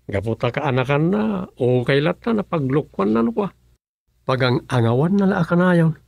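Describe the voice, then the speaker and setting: A man's voice: on the high side, expressive, moderate pace, vocal fry, darkish tone, no echo. An actor with an Ilocano accent playing a grumbling, sleepy village councilman in a radio comedy-drama.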